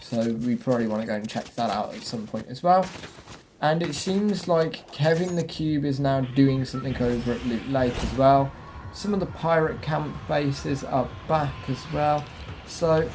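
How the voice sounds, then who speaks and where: Male gaming host, mid-pitched, casual commentary, clear vehicle and game noise underneath. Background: game ambience and vehicle noise.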